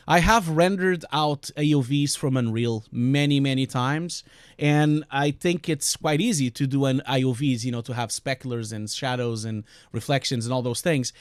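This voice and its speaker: A man's voice: medium pitch, smooth, no echo, clean studio sound. A man with a Portuguese accent chatting casually on a live stream, answering questions, rambling with many fillers and restarts. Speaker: streamer and compositing teacher.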